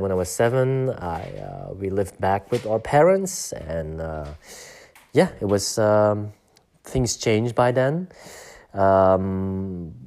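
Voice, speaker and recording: A man from Belgium in his thirties talking reflectively in a personal vlog, with many pauses, fillers and restarts. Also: low-pitched, warm tone. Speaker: vlogger.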